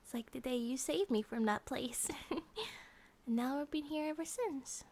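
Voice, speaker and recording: Woman playing a high, soft, soothing bear wife. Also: normal speed. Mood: tender, caring. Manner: soft, soothing, affectionate, often dropping to a whisper. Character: gentle, cuddly female grizzly bear monster-girl wife. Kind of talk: ASMR romantic comfort roleplay.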